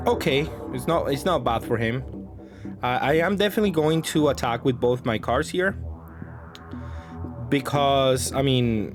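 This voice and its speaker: Casual man thinking aloud over music. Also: medium pitch. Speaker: card game content creator and host. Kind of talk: casual unscripted gameplay commentary.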